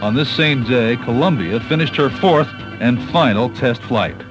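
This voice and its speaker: A man's voice: medium pitch, animated, a little slow, poor audio. A man with an American accent reads scripted documentary narration, recorded on a 1980s cassette tape. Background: background music.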